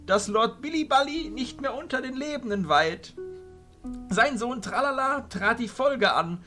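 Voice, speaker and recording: A man playing an old, courteous illusionist arch-chancellor with a ceremonious, deliberate manner in a fantasy audio drama. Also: very high-pitched, a bit bright.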